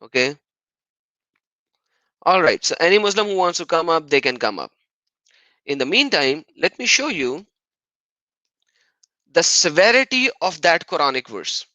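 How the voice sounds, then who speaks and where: A man's voice: fairly high pitch, big pitch swings, unhurried, long pauses, pushed and bright, no room sound. A man hosting an argumentative live stream on religion, often mocking and repeating phrases for emphasis.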